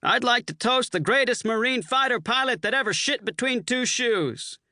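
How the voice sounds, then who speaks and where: A man doing a loud swaggering Marine colonel. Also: extremely high pitch, expressive, moderate pace, no echo, clean studio sound, pitched above the performer's own voice, heavily acted. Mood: toasting. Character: brash hard-drinking male Marine colonel.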